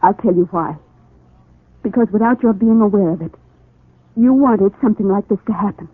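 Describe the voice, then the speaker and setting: A woman's voice: medium pitch, husky, lightly acted. Actress as pleading woman in love, insistent, intense, old radio soap, echoey thin sound. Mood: urgent, confronting. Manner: intense, imploring, deliberate. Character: lovelorn, insistent woman pleading with the man she loves. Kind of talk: 1940s radio soap opera acting. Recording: tinny, echoey 1940s radio recording.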